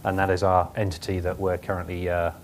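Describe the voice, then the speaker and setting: An English man introducing himself as a panelist, measured and matter-of-fact, with filler pauses. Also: low voice, little pitch movement, a little hiss or hum. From England. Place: conference hall.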